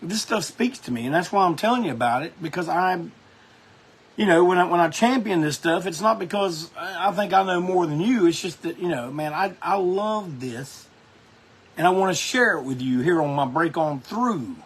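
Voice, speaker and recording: Man, husky, high-pitched, casual rambling music host, folksy. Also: lively intonation, normal speed. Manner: rambling, enthusiastic, folksy with frequent restarts.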